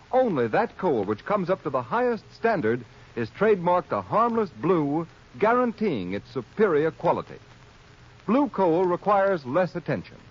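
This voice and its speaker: An American man announcing a 1930s radio advertisement with emphatic, deliberate enunciation. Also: sits high. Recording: muffled 1930s radio recording.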